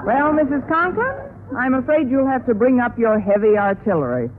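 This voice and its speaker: An actress playing a wry schoolteacher who delivers dry, sardonic lines in a 1950s radio sitcom. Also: female voice, a little high, lively intonation, normal speed, close to an everyday voice. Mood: dryly amused. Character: wry, wisecracking unmarried woman schoolteacher. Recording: tinny, noisy old radio recording.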